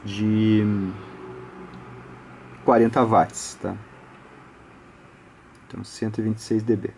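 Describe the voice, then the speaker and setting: A man's voice: lowish voice, level tone, slow pace, gravelly, a little mellow, slight background noise. A man with a Brazilian Portuguese accent giving an informal step-by-step technical tutorial, conversational and explanatory, often ending phrases with small tag questions. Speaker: audio electronics instructor, channel host.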